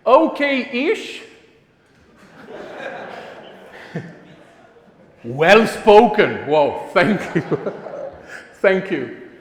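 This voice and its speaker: A man's voice: sits high, wildly swooping pitch, extremely slow, pauses often. Chatty Romanian man in an echoey hall. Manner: chatty and self-mocking, with frequent "like" and restarts. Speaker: conference speaker. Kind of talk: live tech conference talk. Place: conference hall before a live audience. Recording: echoey hall with a long reverb.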